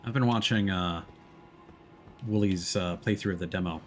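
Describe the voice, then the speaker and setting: A man's voice: low-pitched, normal speed, faint music behind. A man giving casual, off-the-cuff live commentary as he streams a video game.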